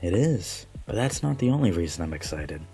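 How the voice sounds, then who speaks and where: A man playing a gentle, modest alien café regular, speaking politely and sincerely in a fan-dubbed visual novel. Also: sits low, very animated, fairly quick, soft background music. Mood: happy, excited.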